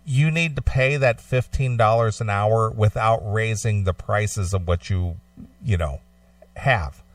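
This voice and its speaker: A man co-hosting a casual, opinionated radio discussion, explaining his points haltingly and often repeating phrases. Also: lowish voice, no echo, far from the mic. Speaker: talk show co-host.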